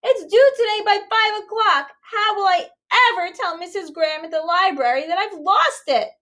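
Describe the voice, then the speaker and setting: A woman's voice: very high voice, normal speed, a bit bright, pitched above the performer's own voice. A woman voicing an anxious young girl in a storybook, pleading and wailing dramatically. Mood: distressed. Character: anxious young girl fretting over a lost book.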